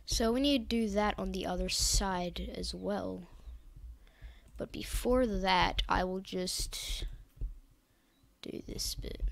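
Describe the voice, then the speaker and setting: A teenage girl with a croaky voice from being sick, casually narrating a game build tutorial with rambling, hesitant self-corrections. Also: mid-range voice. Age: teens. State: croaky from illness.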